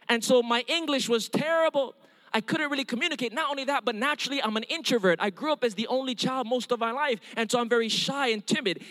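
A man's voice: sits high, fairly bright tone. A middle-aged man from Hawaii with a Hawaiian accent, preaching an earnest testimony sermon and calling for amens. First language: Hawaiian Pidgin. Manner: earnest storytelling with calls of amen. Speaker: evangelist. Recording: long hall reverb.

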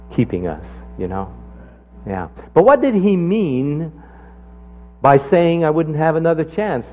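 A man preaching a sermon and telling a personal testimony, climbing sharply in pitch when he drives a point home. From Canada. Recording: dull lo-fi sermon recording.

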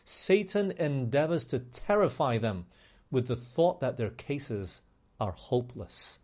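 A man's voice: medium pitch, lively intonation, slow pace, a little mellow, highs cut off. A man preaching a sermon, earnest and conversational, often speaking straight to his listeners. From Malaysia. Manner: earnest, conversational, with asides to the congregation.

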